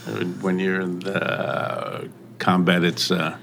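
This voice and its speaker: An older man who grew up in Mexico, telling his story in a relaxed interview, halting and often trailing off. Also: deep voice, creaky, a little hiss or hum. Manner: halting, many pauses and restarts, soft trailing phrases. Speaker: restaurant owner. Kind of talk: casual interview.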